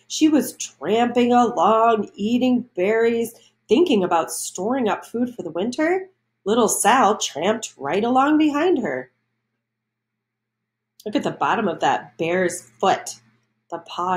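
A woman reading a children's storybook aloud, adding explanations and questions for young listeners. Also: fairly high pitch.

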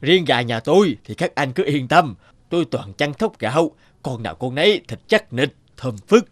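A man's voice: medium pitch, big pitch swings, normal speed, no room sound. An actor playing a proud, prejudiced middle-aged chicken farmer in a cartoon folktale, self-important and given to hesitating as he haggles. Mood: boastful.

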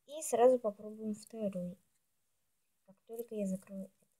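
A woman's voice: mid-range voice, moderate pace, mellow, rough recording. A woman video blogger chatting casually and ramblingly to herself and her viewers while showing things, chewing gum as she talks. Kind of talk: casual chatty nail polish show-and-tell.

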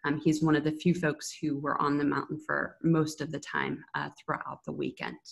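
A woman in her thirties hosts an online public briefing over a video call. Her voice sits low, with husky grain and noticeable vocal fry. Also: little pitch movement, normal speed. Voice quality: husky, creaky. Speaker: moderator of a public update. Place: indoor room at home on a video call.

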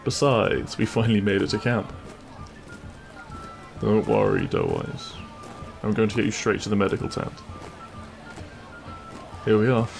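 A man playing a cheeky, kind-hearted bandit prince in an intimate audio roleplay, with a gravelly voice and a teasing, reassuring manner. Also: lowish voice, wildly swooping pitch, unhurried, long pauses, darkish tone, soft background music, full character voice. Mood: caring.

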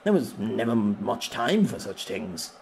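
A man voicing a proper, flustered older former knight in a storybook reading, formal and halting. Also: fairly low pitch, big pitch swings, gravelly, darkish tone, a little hiss or hum, full character voice. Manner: formal, sighing, halting when embarrassed.

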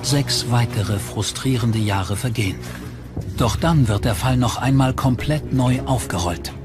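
Male narrator, mid-pitched, scripted true crime documentary read over a strong music bed. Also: unhurried. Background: prominent music.

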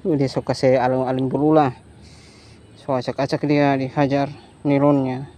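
A man's voice: mid-range voice, little pitch movement, normal speed, warm tone, highs cut off. A man from Indonesia giving casual, playful commentary as he fishes outdoors on a river bank, often repeating catchphrases and exclamations. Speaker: fishing vlogger. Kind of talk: casual commentary while fishing.

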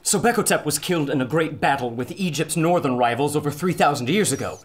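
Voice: mid-range voice, lively intonation, fast, close to an everyday voice. Anxious, theatrical scholar of antiquities, acted out. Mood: grave, scholarly. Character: excitable, learned doctor of antiquities, prone to dread. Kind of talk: pulp radio adventure acting.

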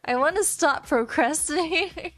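A tired young woman streamer with a slightly scratchy voice, chatting casually while she draws, soft and halting, stammering and giggling often. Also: high voice. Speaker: VTuber streamer. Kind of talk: casual livestream chat while drawing.

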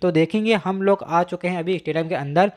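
A man's voice: high-pitched, brisk, darkish tone. A man, narrating a vlog as he walks through a stadium, chatty and addressing viewers as friends, filmed on a handheld phone. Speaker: vlogger. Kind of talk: walking tour vlog narration. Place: inside and around a stadium, walking. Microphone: phone camera held while walking.

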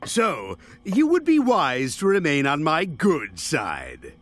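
A man's voice: a little high, huge pitch swings, takes it slowly, a bit bright, heavily acted. A man playing a gloating, pompous middle-aged mad-scientist villain in a video game cutscene. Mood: triumphant menace. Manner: pompous, grandiose, menacing.

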